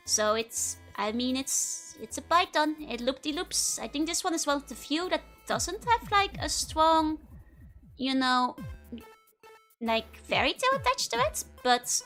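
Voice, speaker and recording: A woman's voice: high-pitched, big pitch swings, a little slow, a bit bright, soft background music. A woman with a Dutch accent, streaming and chatting casually with viewers, giggling often and rambling with lots of fillers. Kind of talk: casual chat to live viewers.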